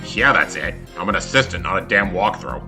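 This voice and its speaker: A grumpy male fennec fox with a very low, gruff voice and a sarcastic bite, as a man acts him out. Clear music runs behind him. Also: animated, fairly quick. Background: background music.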